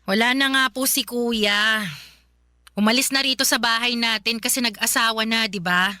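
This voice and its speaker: Bright, exasperated grown daughter. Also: female voice, on the high side, steady tone, normal speed, smooth, no echo, clean studio sound. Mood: hurt, exasperated. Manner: pleading, exasperated. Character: weary, hurt adult daughter caring for her sick mother. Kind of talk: Filipino radio drama acting.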